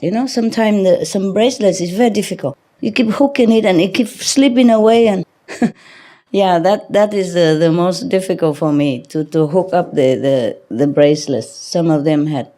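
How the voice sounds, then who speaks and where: A woman's voice: on the low side, warm tone. A woman spiritual teacher talking casually to a group, rambling through anecdotes in a chatty, teasing, often chuckling manner. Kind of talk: casual anecdotes and banter with an audience.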